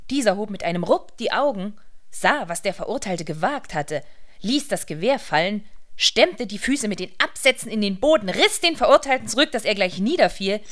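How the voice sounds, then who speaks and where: A woman's voice: mid-range voice, expressive, moderate pace, fairly bright tone. A woman reading scripted literary narration as the narrator of a German radio play.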